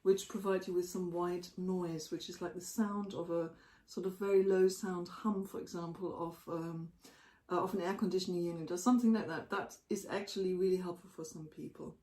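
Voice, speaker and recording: A woman talking through advice, with a lowish, mellow voice. Her voice is going hoarse, and she keeps clearing her throat. The room adds a noticeable echo. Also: steady tone, brisk. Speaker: co-host. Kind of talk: casual advice chat.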